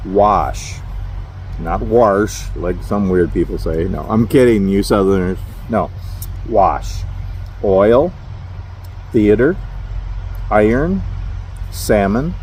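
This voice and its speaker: A man's voice: sits low, a little mellow, a little hiss or hum, low-quality recording. A middle-aged man from Minnesota with a Minnesotan accent, talking casually to camera from his parked truck at a rest area, rambling and chuckling at himself. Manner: rambling, self-deprecating, chuckles often. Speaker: truck driver vlogger.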